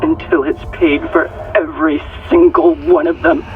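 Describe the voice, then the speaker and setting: Earnest scientist, tinny log. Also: female voice, high voice, big pitch swings, normal speed, vocal fry, heavily acted. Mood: grieving, resolute. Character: committed female hydroponics scientist facing deadly disaster. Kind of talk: sci-fi horror game audio log. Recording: tinny comms-like log, music behind.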